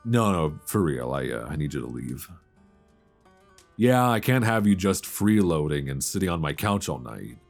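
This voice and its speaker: Deep-voiced, playful male friend, acted by a man. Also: very animated, moderate pace, vocal fry, faint music behind, exaggerated voice. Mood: mock stern. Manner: teasing, stammering, chuckling banter. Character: playful, flustered male old friend hosting Friendsgiving, affectionate teaser. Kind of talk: intimate romantic ASMR audio roleplay, casual and conversational.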